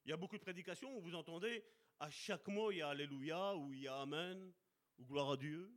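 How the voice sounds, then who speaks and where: A man preaching a sermon with passion, slowing down to stress key words and calling out to his brothers and sisters. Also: on the high side, fairly bright tone. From Italian heritage. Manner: impassioned, addresses congregation as brother and sister.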